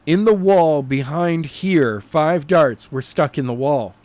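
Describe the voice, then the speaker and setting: A man with a slightly high voice reads a novel aloud as its narrator. His delivery is animated and homemade rather than polished. He stumbles over phrases and corrects himself as he goes. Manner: halting, stumbling read with self-corrections. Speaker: narrator reading a novel chapter. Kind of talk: animated amateur audiobook narration.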